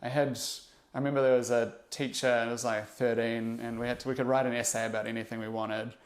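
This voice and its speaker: A young man with a New Zealand accent talks through his past in a casual podcast chat. He pauses often and restarts his sentences, reflective and unhurried in his thinking. His pitch sits in an ordinary middle range for a man. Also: flat delivery. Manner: reflective, halting, many fillers and restarts. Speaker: interview guest, investor.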